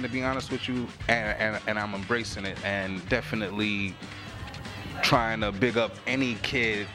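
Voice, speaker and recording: A middle-aged man, a rapper, talking earnestly and reflectively in a casual interview, with a lot of "you know what I'm saying". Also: medium pitch. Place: lounge room on a leather couch. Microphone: handheld mic held by interviewer.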